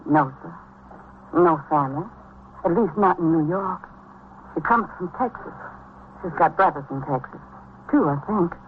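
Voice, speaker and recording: A woman's voice: lowish voice, measured pace, pauses often, close to an everyday voice. Woman acting a plaintive housemaid on tinny old radio. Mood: subdued, deferential. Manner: plaintive, repetitive, folksy, deferential. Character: grieving, devoted housemaid, shaken and respectful. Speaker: actor in a radio drama. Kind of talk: vintage radio drama acting. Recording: thin, band-limited old radio, noisy.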